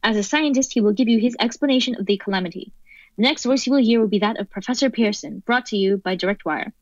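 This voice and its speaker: A woman's voice: on the high side, fast talker, dry recording, natural voice. A woman playing an urgent, formal news announcer in a radio play. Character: brisk female radio news announcer relaying disaster bulletins. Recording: dull lo-fi sound, music behind.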